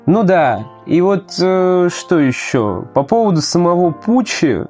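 A man's voice: high voice, big pitch swings, measured pace, a little mellow, faint music behind. A man co-hosting a casual podcast, retelling anime plot points with hesitant fillers and the odd swear. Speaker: podcast co-host. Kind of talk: casual chat about anime.

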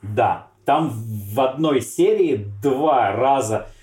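A man in casual conversation, swearing a lot and retelling scenes with energy. His voice sits at a middle pitch. The room around him is echoey. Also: takes it slowly. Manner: animated, swears freely, retells scenes with quoted lines. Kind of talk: casual chat.

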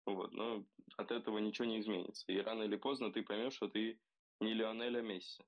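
Young man, gravelly, lowish voice, halting casual podcast talk, lots of fillers, a bit under the weather. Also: steady tone, dull audio. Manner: hesitant, many fillers, slow and searching. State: slightly ill. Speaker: podcast co-host. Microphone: remote recording.

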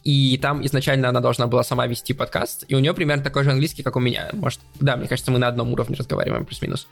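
A young man as a podcast guest, explaining his plans at length in a measured, casual way. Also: medium pitch, creaky, soft background music. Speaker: podcast platform editor-in-chief, guest.

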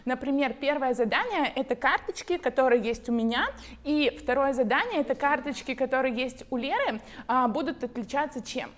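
Woman, talk show host, upbeat, measured delivery, slightly high voice. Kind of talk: show introduction and moderating. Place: studio.

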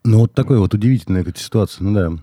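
A man's voice: lowish voice, very fast, warm tone, no room sound. A man hosting a podcast in casual, colloquial, worked-up talk, congested with a cold. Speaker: podcast host.

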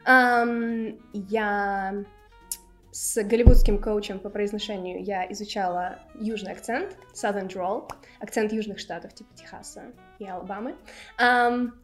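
A woman's voice: mid-range voice, fairly even intonation, measured pace, faint music behind. A woman who is a native Russian speaker, co-hosting a podcast as an English teacher with casual, self-deprecating humour.

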